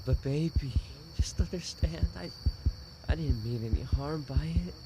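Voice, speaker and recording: A man playing an obsessive stalker boyfriend in a dramatic roleplay audio, halting and pleading, with murmured endearments and nervous chuckles. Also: fairly high pitch, lo-fi sound, noisy background. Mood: desperate, pleading. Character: obsessive secret yandere stalker boyfriend, tender turning desperate.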